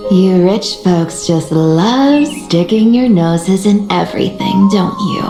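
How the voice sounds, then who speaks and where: A woman's voice: medium pitch, reverberant. A woman voicing a sly, playful and brash young female thief in a video game. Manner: teasing, brash, giggly. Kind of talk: acted video game dialogue. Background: background music.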